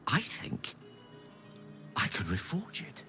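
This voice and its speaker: A man acting as an eloquent, ambitious wizard in a video game, speaking in theatrical, erudite phrases with dramatic pauses. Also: a little high, wildly swooping pitch, music in the background, full character voice. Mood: ambitious, persuasive. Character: ambitious, eloquent male wizard hungry for godlike power. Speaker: game character, wizard companion.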